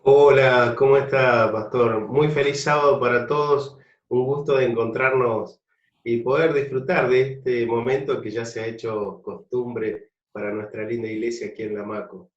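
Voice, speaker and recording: A man's voice: mid-range voice, fairly even intonation, normal speed. A man with an Argentine accent, a pastor reflectively and fondly telling his life story in an interview. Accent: Argentine Spanish. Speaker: pastor guest. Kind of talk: interview testimony. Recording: distant, roomy, dull sound.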